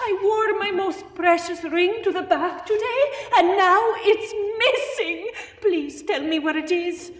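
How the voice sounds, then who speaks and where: A woman's voice: very high voice, unhurried. A woman voicing an anxious noblewoman who pleads for help. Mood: pleading. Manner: pleading then gushing. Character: anxious noblewoman, minister's wife. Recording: echoey hall, mic far off.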